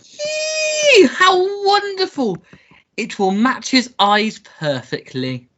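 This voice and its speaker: A woman playing a foppish, childish upper-class English gentleman in a comic melodrama radio play, pompous and theatrical. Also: very high voice, expressive, slow, highs cut off, slight background noise, full character voice. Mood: gushing. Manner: pompous, theatrical, babyish gushing.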